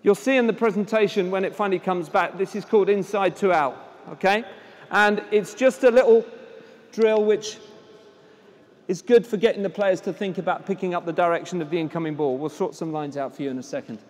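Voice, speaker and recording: A man coaching children at tennis drills, giving brisk encouraging instructions and projecting his voice loudly. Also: sits high. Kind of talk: on-court coaching demonstration. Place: indoor tennis hall at a conference. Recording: echoey hall, voice from a distance.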